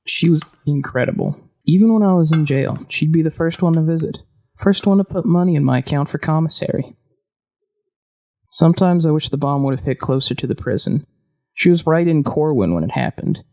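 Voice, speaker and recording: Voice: medium pitch, a little fast, a little mellow, natural voice. An actor playing an upbeat, scrappy ex-convict in a post-apocalyptic audio drama, breezy and playfully grandiose. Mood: wistful, matter-of-fact.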